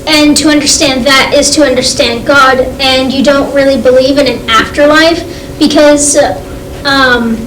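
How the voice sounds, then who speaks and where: A woman's voice: high voice, fairly even intonation, measured pace, fairly bright tone, slight background noise. A female student answering in class, hesitant with many fillers. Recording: echoey classroom, distant mic.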